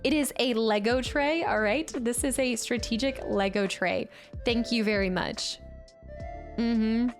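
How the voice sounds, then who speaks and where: Female streamer, slightly high voice with a touch of congestion, chatty and informal, replying to viewers over background music. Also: a little slow. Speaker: livestream host. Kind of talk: casual live stream chat while building.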